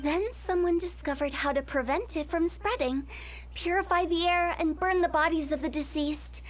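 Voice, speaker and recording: A woman's voice: extremely high pitch, normal speed, rough recording. A woman voicing a playful young female funeral parlor director in a video game, breezy and teasing as she explains things. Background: game music.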